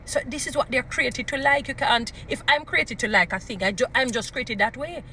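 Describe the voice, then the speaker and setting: A woman's voice: high-pitched, normal speed, pushed and bright, slight background noise. A woman with a Jamaican accent and a strong voice, giving informal, emphatic advice in a preachy way, repeating short phrases for emphasis. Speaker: relationship advice speaker. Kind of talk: informal motivational advice talk.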